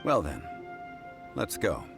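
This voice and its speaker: Learned consultant, very low voice, measured and authoritative, formal wording, video game drama, background music. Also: male voice, huge pitch swings, vocal fry, full character voice. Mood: calm, formal. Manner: formal, measured, deliberate phrasing. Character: composed, authoritative, learned male consultant bound by contracts.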